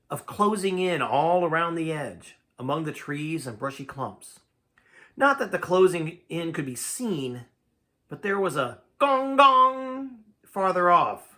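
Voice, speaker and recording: Animated man reading a story aloud. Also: on the high side. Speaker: amateur audiobook reader. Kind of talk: animated storytelling read-aloud in first person.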